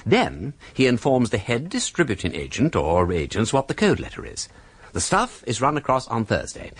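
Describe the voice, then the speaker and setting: Posh english gentleman detective, lowish husky voice, witty and emphatic, radio play. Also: exaggerated voice. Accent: upper-class English. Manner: theatrical, emphatic, didactic, drawling. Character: witty, urbane aristocratic gentleman detective, male. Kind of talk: British radio drama acting.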